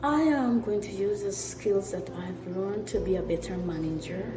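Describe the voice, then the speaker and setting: A woman's voice: medium pitch, normal speed. Guyanese woman in an echoey room. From West Coast Berbice, Guyana. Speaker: programme participant giving testimonial. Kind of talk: short spoken testimonial. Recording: echoey room under music. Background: music.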